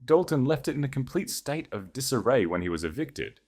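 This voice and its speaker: A narrator as a calm, devoted duke, animated pitch. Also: male voice. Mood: professional. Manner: measured, conversational. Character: devoted, calm aristocratic man, duke consort.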